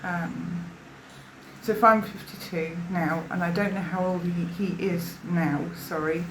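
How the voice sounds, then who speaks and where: A woman's voice: fairly low pitch, fairly even intonation, unhurried, a bit bright, a little hiss or hum. A woman in her fifties from South Africa, giving personal testimony in a hesitant, rambling way, full of fillers and restarts. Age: 50s. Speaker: interviewee giving a witness statement. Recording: roomy echo.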